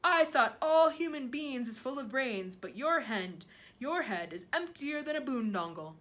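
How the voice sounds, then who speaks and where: A woman voicing a huge, boastful but friendly storybook giant who speaks emphatically in drawn-out, muddled comic grammar. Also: high-pitched, very animated, fairly bright tone, pitched above the performer's own voice, heavily acted. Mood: blustering, opinionated. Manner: emphatic, drawn-out, mangled words.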